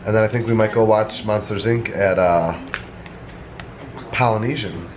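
A man's voice: fairly low pitch, moderate pace. Congested young man vlogging on a handheld camera. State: congested from a sinus infection. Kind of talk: casual vlog chat. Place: apartment at home, then outdoors at a resort at night. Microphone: handheld camera at arm's length. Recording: noisy handheld camera audio.

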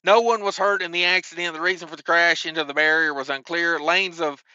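A man's voice: on the high side, steady tone, brisk, sharp tone, dry recording. A man in his thirties hosting a trucker news show on a headset mic, reading stories with wry grumbling asides. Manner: reads headlines, then drops into wry grumbling asides. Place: small room, indoors. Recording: dry, slightly dull headset audio.

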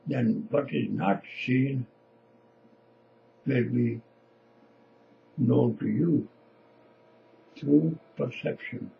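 A man in his seventies, a native Punjabi speaker with a Punjabi accent, preaching informally to camera in halting, emphatic short phrases. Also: medium pitch, gravelly, highs cut off, a little hiss or hum. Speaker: lay preacher. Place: small indoor home office.

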